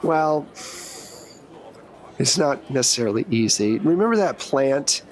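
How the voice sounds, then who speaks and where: A man's voice: mid-range voice, animated, a little slow. A man working as game master, narrating a tabletop role-playing game in an informal, conversational way.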